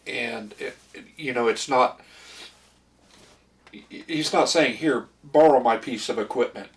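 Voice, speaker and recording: A middle-aged man in casual, reflective conversation about faith, speaking in a halting, thoughtful way. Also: mid-range voice, slight background noise. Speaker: podcast co-host. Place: small room with foam panels. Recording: distant mic in a room.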